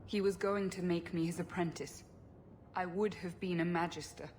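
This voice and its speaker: A woman's voice: lowish voice, fairly even intonation, moderate pace, darkish tone, slight background noise, close to an everyday voice. An actress playing a regretful, resentful elf woman in a video game, speaking in an earnest, pained way. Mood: defensive, bitter.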